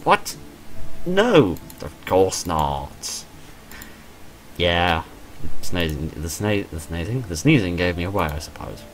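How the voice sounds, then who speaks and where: A man's voice: low-pitched, sing-song, slow pace, long pauses, slight background noise, exaggerated voice, poor audio. A man voicing a shy, apologetic young woman in a visual novel, with a hesitant, sheepish manner. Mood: flustered. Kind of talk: visual novel character reading.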